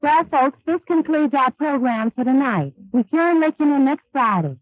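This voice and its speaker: A woman's voice: high-pitched, animated, moderate pace, dry recording. A woman giving a scripted sign-off in 1930s radio comedy style. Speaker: radio show performer signing off. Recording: narrow, dry 1930s radio recording.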